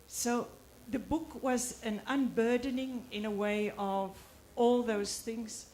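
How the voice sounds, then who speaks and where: Slow-speaking South African woman author. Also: a little high, fairly even intonation, a little mellow, a little hiss or hum. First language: Afrikaans. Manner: pauses often, halting with fillers. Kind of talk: reflective talk at a book presentation.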